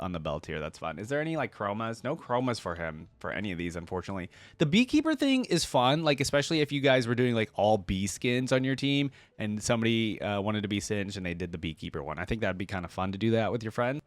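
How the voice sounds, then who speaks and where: A man hosting a gaming reaction video, talking casually and thinking out loud as he comments, addressing his viewers informally. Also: lowish voice, no echo. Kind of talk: casual reaction commentary, some reading aloud.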